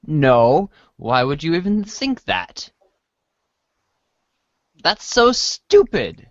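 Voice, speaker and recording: A man's voice: a little high, wildly swooping pitch, extremely slow, no echo, heavily acted. A man playing a goofy, playful and defensive teenage boy in a casual dramatized chat reading. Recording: dull, roomless lo-fi audio.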